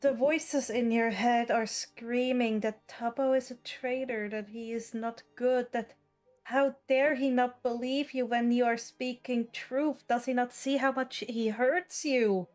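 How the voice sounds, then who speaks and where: A woman's voice: a little high, fairly even intonation, unhurried, darkish tone. A woman narrating and refereeing a tabletop roleplaying game as the game master. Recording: music behind the voice. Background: faint music.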